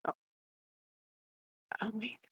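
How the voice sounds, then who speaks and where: A woman's voice: drawn-out words, a bit bright, lo-fi sound, slight background noise. A woman in casual, playful banter while playing along with a word game on a livestream.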